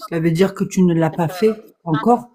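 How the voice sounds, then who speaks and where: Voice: medium pitch, a little fast, mellow. A guest on a live stream patiently explains a point, with many fillers and repeated words. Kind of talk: casual debate on a live stream.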